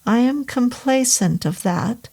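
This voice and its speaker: A woman's voice: a little high, lively intonation, takes it slowly, creaky, mellow, voice pushed up, exaggerated voice. A woman voicing a formal, polite middle-aged male innkeeper with a foreign accent and stiff phrasing. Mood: earnest.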